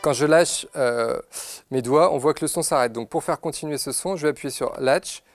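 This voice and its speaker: A man giving an unscripted, methodical product demonstration, pausing to find his words as he explains each step. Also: a little high, over music. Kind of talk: unscripted instructional product demo. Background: synthesizer demo sounds.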